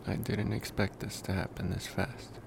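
A man playing a devoted, protective young college vampire in an intimate ASMR roleplay, speaking softly with musing pauses. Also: vocal fry. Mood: tender, nervous. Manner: soft, unhurried, musing with long pauses. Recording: noisy, steady background rumble.

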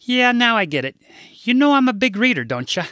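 A man's voice: very high-pitched, big pitch swings, fairly quick, sharp tone, no room sound, studio quality, voice pushed up, heavily acted. A man voicing a fussy, emotional older woman assistant who frets over swearing and fights back tears. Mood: teasing. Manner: fussy, tearful, prim about swearing.

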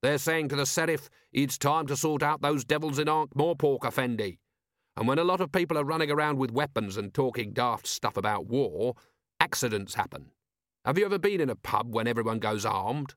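A man's voice: medium pitch, a little fast, a bit bright, dry recording, clean studio sound, voice pushed up, lightly acted. A man voicing a stubborn, cynical city watch commander in an audiobook, blunt and plain-spoken. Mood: arguing. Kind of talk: audiobook character voice.

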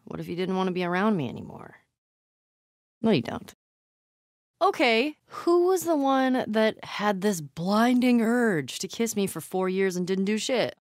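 A woman's voice: medium pitch, sing-song, measured pace, no room sound, studio quality. An actress playing a tough, sardonic young American woman survivor in a video game, naturalistic and clipped, often speaking under her breath. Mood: earnest, nervous.

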